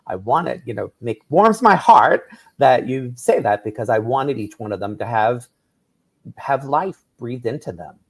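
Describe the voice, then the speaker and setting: New author explaining eagerly. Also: male voice, medium pitch, animated, unhurried, husky. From New York. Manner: enthusiastic, explanatory, tags "right?". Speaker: author and drag performer, guest. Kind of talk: radio interview.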